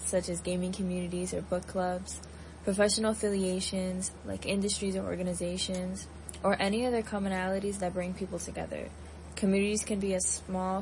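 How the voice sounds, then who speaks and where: A woman's voice: medium pitch, a little mellow, a little hiss or hum, poor audio. A young adult woman working as a student interviewer, reading out prepared questions and introductions.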